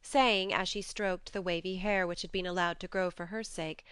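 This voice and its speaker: Smooth, clear woman narrating an audiobook. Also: lowish voice, fairly even intonation, normal speed, fairly bright tone, no room sound. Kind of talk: expressive scripted storytelling.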